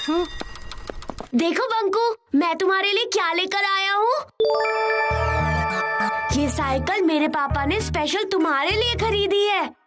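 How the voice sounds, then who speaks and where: Very high-pitched cocky cartoon boy. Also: measured pace, pauses often. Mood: boastful. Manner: bossy, boastful, exaggerated. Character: young boy Bunty, cocky, jealous, show-off rival brother. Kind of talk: animated children's comedy voice acting. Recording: studio voice under loud cartoon music.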